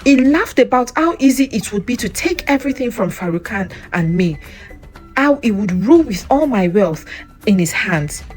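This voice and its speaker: Frail yet resolute storybook heroine voiced by a woman narrator, earnest, lively pitch, music beneath. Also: moderate pace, lightly acted. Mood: determined. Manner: earnest, clear. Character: frail but determined devoted young woman. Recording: music under the voice.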